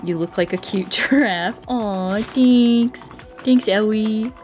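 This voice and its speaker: A woman's voice: mid-range voice, takes it slowly, darkish tone, faint music behind. A woman streaming a video game, chatting casually and playfully with viewers in a scatterbrained way, often repeating her words.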